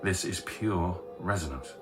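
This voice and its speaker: An actor playing a sardonic sound engineer with a wry, sarcastic way of talking. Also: male voice, sits low, unhurried, over music. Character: sardonic male sound engineer, dry wit. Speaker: sound researcher. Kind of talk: radio drama acting.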